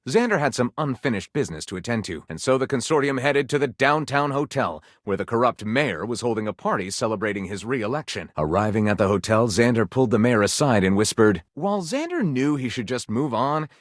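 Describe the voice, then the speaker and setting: A man's voice: medium pitch, lively intonation, brisk, hardly any pauses, no echo, close to an everyday voice. A man acting as a flamboyant storyteller in a video game, relishing every lurid line. His middle-range voice swoops dramatically up and down with florid, suspenseful phrasing. The sound is very dry, with no room around it. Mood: dramatic, suspenseful. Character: flamboyant, melodramatic male storyteller relishing lurid pulp tales. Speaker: voice actor playing a storyteller character. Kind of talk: theatrical scripted narration of a racy adventure story.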